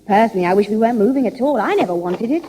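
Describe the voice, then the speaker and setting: An actress playing a sharp-tongued young woman, the elder sister, who snaps at her brother. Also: medium pitch, expressive, rapid-fire, slight background noise, close to an everyday voice. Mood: calm. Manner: snappish, exasperated. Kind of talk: 1960s radio comedy acting.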